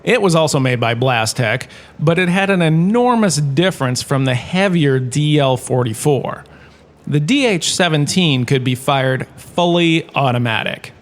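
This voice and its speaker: A man performs an enthusiastic male college lecturer in a comedic audio drama. His voice sits a bit high, and he talks in a chatty, showy way, like a former star who enjoys the podium. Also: expressive, unhurried. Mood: enthusiastic, informative. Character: washed-up holodrama star turned enthusiastic, quirky university lecturer.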